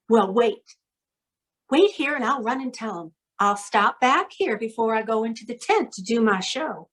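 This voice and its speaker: A woman plays an anxious young female snake charmer in a radio noir. Her voice sits fairly high and swings widely, theatrical and fretful, and she takes her time over the words. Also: animated, unhurried, fairly bright tone. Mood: alarmed.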